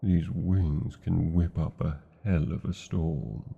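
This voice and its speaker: Man voicing a deep, husky British dragon. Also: fairly even intonation, narrow, tinny audio, exaggerated voice. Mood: resolute, protective. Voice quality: husky, gravelly, dark low voice. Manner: slow, deliberate, formal phrasing. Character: old tender male dragon, wistful lover of a human. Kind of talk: fantasy ASMR roleplay acting.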